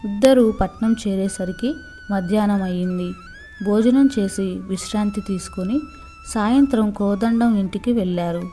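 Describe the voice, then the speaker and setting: A woman's voice: medium pitch, normal speed, warm tone, faint music behind. A woman storyteller reading a scripted moral story for children.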